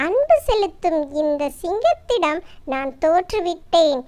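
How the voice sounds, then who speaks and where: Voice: very high voice, big pitch swings, measured pace, a little mellow, exaggerated voice. A storyteller voicing a stern storybook king who makes formal declarations in a children's tale. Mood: humbled, solemn. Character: cruel king humbled by a lion's love.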